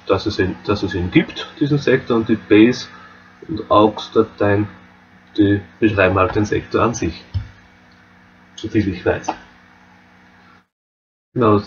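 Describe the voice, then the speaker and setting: An Austrian man explains modding files in a relaxed, step-by-step way, often restarting his sentences. His voice sits fairly low. The desktop mic sounds a little muffled, with a faint hum behind him. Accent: Austrian German. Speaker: modding tutorial host. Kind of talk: screen-recorded software tutorial.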